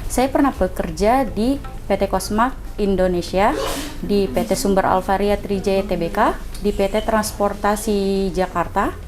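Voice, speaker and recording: Female, 25, interview candidate. Medium pitch, slow and hesitant. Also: darkish tone, a little hiss or hum. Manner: halting, searching for words. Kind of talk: self-introduction and interview answers.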